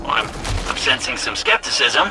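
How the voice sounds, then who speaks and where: An actor playing a nervous, eager-to-please defector robot in a game cutscene, chatty, evasive and stammering. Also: male voice, moderate pace, natural voice. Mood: uneasy, defensive. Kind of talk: video game cutscene acting. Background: loud background music.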